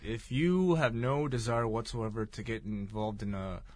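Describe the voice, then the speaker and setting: A man's voice: lowish voice, normal speed, dry recording. A man in his thirties co-hosting a casual chat, easygoing, stumbling over his first words and throwing in wry asides. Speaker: podcast co-host.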